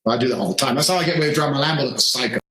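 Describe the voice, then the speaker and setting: A man gives casual, bragging advice at a very fast clip. His mid-pitched voice is caught in a roomy, echoing recording. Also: sharp tone.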